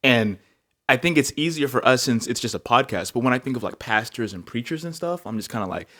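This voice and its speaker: A young man from Africa co-hosting a faith podcast, musing aloud in casual chat with lots of fillers and lively leaps in pitch. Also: fast talker. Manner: reflective, many filler likes, animated jumps in pitch. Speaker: podcast co-host.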